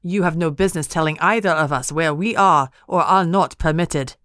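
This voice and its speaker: A woman voicing a frustrated, protective husband who argues forcefully. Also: a little high, moderate pace, smooth, no echo, clean studio sound. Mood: firm. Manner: forceful, argumentative.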